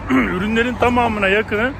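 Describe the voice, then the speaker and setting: A man's voice: very high-pitched, gravelly. A man in his thirties from Turkey, chatting casually to viewers while walking a city, recorded on a phone at arm's length outdoors. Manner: chatty, rambling, addresses viewers directly. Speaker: travel vlogger. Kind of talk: casual walking-tour vlog. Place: outdoors on a windy lakeshore and city streets. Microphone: phone held at arm's length. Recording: outdoor phone audio with traffic and wind noise.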